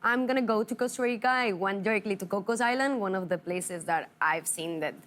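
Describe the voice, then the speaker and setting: Woman, young adult, Spanish accent, TV guest, lively storytelling. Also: mid-range voice, a little fast. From Spain. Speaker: shark conservationist guest.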